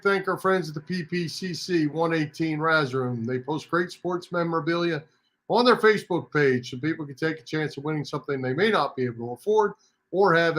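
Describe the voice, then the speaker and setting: Man, slightly high voice, sports talk host, casual, emphatic, repeats himself. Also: sharp tone.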